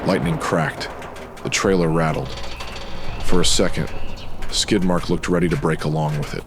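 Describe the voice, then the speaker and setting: A man with a very deep voice reading scripted noir narration as a storyteller. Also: fairly even intonation, moderate pace, noisy background. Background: music.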